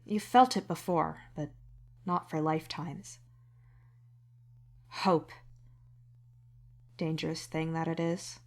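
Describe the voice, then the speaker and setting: Woman narrator, fairly low pitch, scripted fiction reading. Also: fairly even intonation, slow, pauses often.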